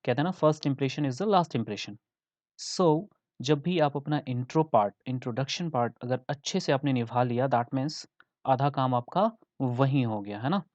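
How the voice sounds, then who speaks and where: A young man with an Indian accent gives an English lesson, mixing in Hindi. He sounds chatty and mid-pitched, often checking in with the listeners. The recording is dry and slightly muffled. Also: a little slow, a little mellow, dry recording. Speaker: English teacher.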